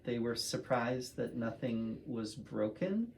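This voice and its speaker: A man from Ukraine giving a personal account as an interviewed patient, explaining in measured short phrases with pauses. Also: medium pitch, expressive, vocal fry. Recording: background noise, room ambience.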